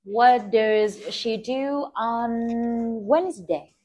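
A woman's voice: fairly high pitch, very slow. Patient Vietnamese woman teacher quizzing. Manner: patient, asks short drill questions and corrects gently. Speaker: English teacher. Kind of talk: one-on-one speaking test with a child.

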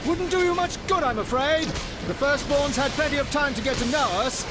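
A resigned veteran soldier from a video game. His voice is very high, his manner courteous, wry and understated. Loud music plays behind him. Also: a little fast. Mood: wry resignation. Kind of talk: video game cutscene acting.

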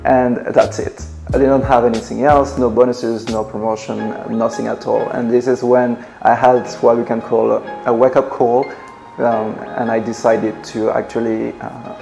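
A man's voice: medium pitch, level tone, moderate pace, creaky, over music. A man in his late twenties with a French accent, from Ivory Coast, telling his personal story to camera from his living room in an earnest, reflective way with many fillers. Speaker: travel blogger. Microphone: camera at desk distance.

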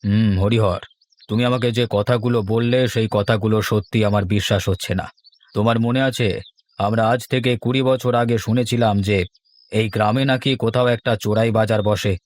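A man's voice: on the low side, steady tone, normal speed, lightly acted. A man voicing a stubborn old village headman in a horror cartoon. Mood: sceptical, stubborn.

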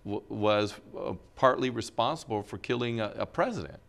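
A man's voice: fairly low pitch, expressive, moderate pace, gravelly, a bit bright. Earnest middle-aged man arguing a point. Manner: earnest, argumentative, gestures while speaking. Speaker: guest. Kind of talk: studio interview. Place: TV studio. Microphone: lapel mic.

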